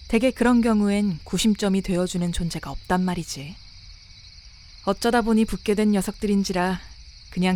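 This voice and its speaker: A woman's voice: medium pitch, a little mellow, close to an everyday voice. A woman voicing a blunt, world-weary senior exorcist in a visual novel drama, explaining things in a casual, matter-of-fact way. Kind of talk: dramatic character dialogue, explanatory. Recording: noisy bed of rain and night insects.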